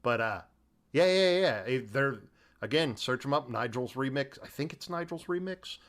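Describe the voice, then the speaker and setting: A man's voice: medium pitch, expressive, normal speed, a bit bright. A middle-aged man co-hosting a podcast, chatting in a joking, slangy and emphatic way. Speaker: podcast co-host. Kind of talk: casual chat.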